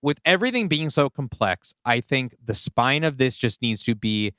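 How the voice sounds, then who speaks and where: A man's voice: mid-range voice, animated, moderate pace, a bit bright, no echo, clean studio sound. A man co-hosting a podcast, casually talking through ideas and explaining his thinking aloud. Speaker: podcast co-host and writer. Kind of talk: casual collaborative discussion. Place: indoors, two people seated across from each other.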